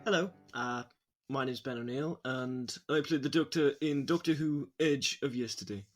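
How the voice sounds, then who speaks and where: A man's voice: mid-range voice, moderate pace, faint music behind. A man who works as a voice actor, in casual chat with castmates.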